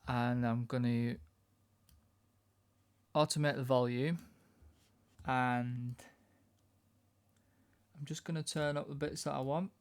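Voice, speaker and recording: A man with a medium-pitched, noticeably creaky voice talks through a production tutorial in an informal way. He thinks aloud as he works, and the sound is dry and close, with little room. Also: fairly even intonation, takes it slowly, long pauses, dry recording. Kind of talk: casual software tutorial narration.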